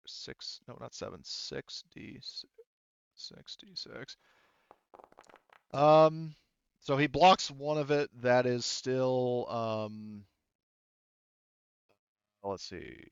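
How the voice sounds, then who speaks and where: Dude game mastering, smooth voice, kinda muffled dry mic, thinks out loud and stops a lot. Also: male voice, medium pitch, no room sound. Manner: halting, thinking aloud while rolling dice. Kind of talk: casual tabletop game narration with rules talk.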